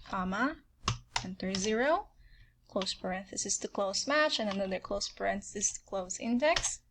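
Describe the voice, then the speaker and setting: A woman's voice: medium pitch, expressive, normal speed, noisy background. A woman with a Filipino accent walking viewers through spreadsheet formulas step by step, often checking in with "okay". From Philippines. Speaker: spreadsheet tutorial host. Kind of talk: screen-recorded software tutorial.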